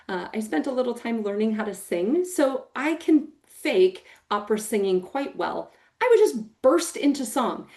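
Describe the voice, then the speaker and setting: Big-voiced American woman, mellow tone. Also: fairly high pitch. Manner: expressive, emphatic, warm-hearted teaching with a big voice. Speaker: program manager giving a training talk. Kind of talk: recorded training talk to foster parents.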